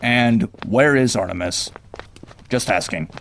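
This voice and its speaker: A man's voice: mid-range voice, slow, gravelly, fairly bright tone, slight background noise. A man voicing a brooding young vigilante antihero in a comic-book cartoon, speaking in a dry, sardonic way. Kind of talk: comic-book cartoon acting with inner monologue. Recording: music behind the voice. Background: music.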